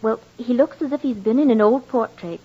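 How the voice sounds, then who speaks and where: An actress playing a gentle, dreamy young noblewoman wife in an old-time radio drama, refined and wistful in her speech. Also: a little high, fairly quick, husky. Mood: calm, insistent. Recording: muffled old radio recording, faint music.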